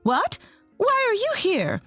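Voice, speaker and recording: A woman's voice: very high-pitched, huge pitch swings, slow, a bit bright, no echo, soft background music, voice pushed up, full character voice. A woman voicing a cruel, scornful middle-aged mother-in-law who berates and sneers. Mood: panicked. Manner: harsh, contemptuous, berating.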